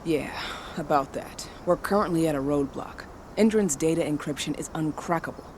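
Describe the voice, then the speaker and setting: An actor playing a pragmatic, supportive rebel comrade and tech saboteur, casual and frank, in a video game cutscene. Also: male voice, fairly high pitch, moderate pace, noisy background, lightly acted. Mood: businesslike.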